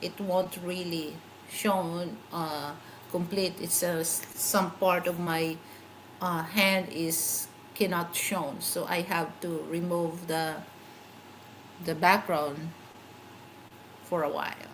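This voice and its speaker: Slow, rambling Filipino woman. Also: on the low side, little pitch movement, a bit bright, a little hiss or hum, poor audio. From Philippines. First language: a Philippine language. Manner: rambling, chatty, searching for words. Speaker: channel host. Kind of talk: casual livestream chat to members.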